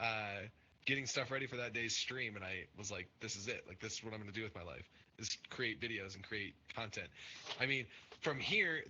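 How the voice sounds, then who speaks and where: A man's voice: mid-range voice, noisy background. Earnest rambling man on a lo-fi mic. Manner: earnest, rambling, many fillers and restarts. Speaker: podcast host and Twitch streamer. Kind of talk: casual podcast chat.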